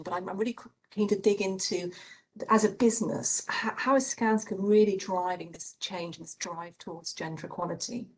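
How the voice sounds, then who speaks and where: A woman with a slightly low voice leads a panel discussion. She frames long questions in a measured way, sometimes restarting a phrase mid-sentence. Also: darkish tone, rough recording. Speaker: panel moderator.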